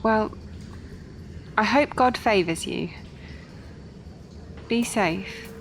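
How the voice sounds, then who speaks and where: A woman playing a kind, pious working woman in a Victorian audio drama, speaking gently, earnestly and properly. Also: medium pitch, very slow, a little hiss or hum.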